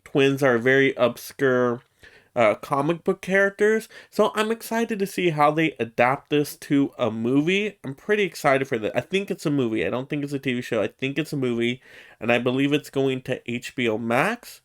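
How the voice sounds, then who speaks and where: A man's voice: medium pitch, lively intonation, normal speed. A man hosting a casual solo news roundup, conversational and emphatic, often checking himself with asides. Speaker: YouTube host giving movie news commentary.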